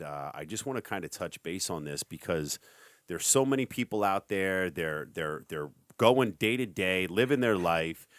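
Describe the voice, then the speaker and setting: Deep-voiced energetic podcast host man. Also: no room sound, clean studio sound. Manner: energetic, hype-style motivational. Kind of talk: casual podcast talk.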